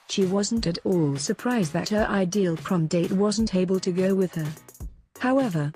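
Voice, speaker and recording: A low-pitched woman narrates a scripted feel-good story. Her voice is mellow and relaxed, with faint music playing behind her.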